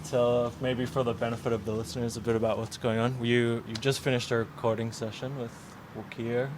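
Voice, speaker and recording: A man's voice: medium pitch, flat delivery, brisk. A man hosting a podcast and chatting casually, feeling his way hesitantly through what he says. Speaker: podcast host, interviewer. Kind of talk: casual interview chat. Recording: clear traffic and ambient noise behind.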